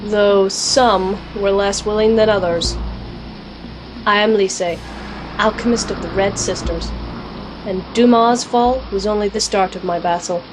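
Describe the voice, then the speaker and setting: A woman's voice: medium pitch, fairly even intonation, unhurried, background noise, lightly acted. A woman playing a defiant, sardonic alchemist, wry and assertive, in an audio drama with narration. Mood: wry, resolute. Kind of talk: first-person narration and audio drama acting.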